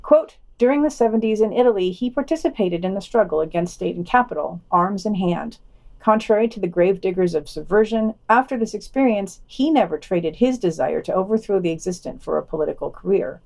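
A woman's voice: medium pitch, expressive, a little fast, gravelly, darkish tone. A woman reading scripted news items and long quotations for a podcast, dropping in wry asides.

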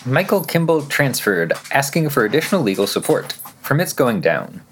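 Man reading news with very lively intonation. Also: mid-range voice, moderate pace, a little hiss or hum. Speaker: podcast news reader. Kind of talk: scripted news reading with read-out quotations.

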